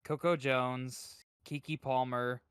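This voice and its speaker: Guy on a podcast, slow talker, clean voice, dry sound, sort of thinking out loud. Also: medium pitch, flat delivery, smooth, dry recording. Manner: hesitant, thinking aloud. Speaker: podcast co-host. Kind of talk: casual chat.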